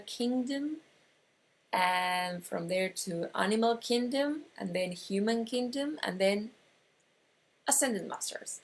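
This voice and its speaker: A woman with an Argentine Spanish accent, whose first language is Spanish, teaches an online course. She talks slowly and deliberately, stopping often to find her words and restarting phrases. Her voice carries a gravelly fry. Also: mid-range voice, rough recording. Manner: slow, explanatory, with pauses and restarts. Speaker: metaphysics teacher.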